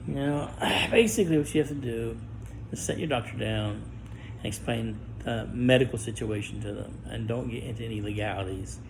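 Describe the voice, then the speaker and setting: A middle-aged man speaking a personal monologue to camera, guarded and halting, with stammered restarts and long pauses. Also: mid-range voice, vocal fry, noisy background. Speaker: vlogger.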